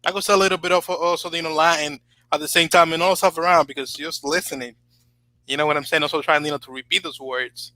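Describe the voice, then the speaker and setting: A man whose first language is Spanish, chatting casually as the host of a talk show, rambling with many fillers and rising to animated emphasis. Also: a little high, fast talker, no room sound, poor audio. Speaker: channel host. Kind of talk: casual podcast chat.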